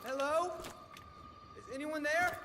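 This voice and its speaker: High-voiced nervous bookish monster scholar, noisy surroundings. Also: male voice, takes it slowly. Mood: nervous, awed. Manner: hesitant, polite, wordy. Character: timid, bookish, excitable male monster scholar. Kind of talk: video game cutscene acting. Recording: clearly noisy ambience behind the voice.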